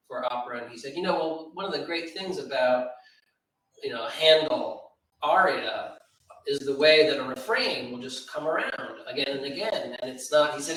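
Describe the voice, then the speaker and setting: A man talks informally to a literary audience in a very reverberant room, picked up a little from afar. His pitch is mid-range, and he speaks in a thoughtful, halting way that wanders through asides. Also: a bit bright. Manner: hesitant, digressive, thoughtful. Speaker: poetry editor and event speaker. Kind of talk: informal spoken commentary at a literary event. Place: large reverberant room at a live event. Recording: echoey room, distant microphone.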